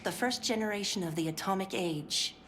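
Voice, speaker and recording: An actress playing a sugary, overly polite 1950s clinic worker who is strained and pill-addled, often slipping into whispers. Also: female voice, mid-range voice, brisk, close to an everyday voice. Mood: frayed. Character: chirpy 1950s woman clinic worker, fraying. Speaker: game character voice lines. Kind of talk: scripted game voice acting. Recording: steady background noise.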